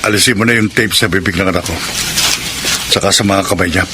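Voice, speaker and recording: A man's voice: low-pitched, fast, heavy background noise, natural voice. A man playing a determined, yearning ex-convict father in a Filipino radio drama. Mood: solemn. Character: determined ex-convict father, intense yet yearning for his daughter. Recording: loud music under radio drama.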